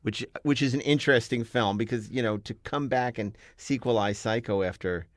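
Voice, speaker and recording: A man's voice: mid-range voice, normal speed, no echo, studio quality. A man hosting a casual panel chat about films, talking in an enthusiastic, rambling film-buff way. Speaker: podcast panel host.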